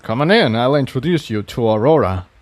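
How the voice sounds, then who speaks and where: A man's voice: medium pitch, expressive, fairly quick, natural voice. A man voicing a polite, friendly male refugee pony in a fantasy storybook reading.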